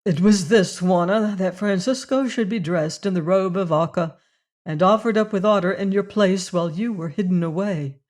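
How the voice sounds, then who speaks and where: A stern, proud young man, as the narrator reads him, firm and grave, speaking loudly. He holds himself upright and quick to anger. A storybook hero. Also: medium pitch, close to an everyday voice. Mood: strained. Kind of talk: audiobook character voice.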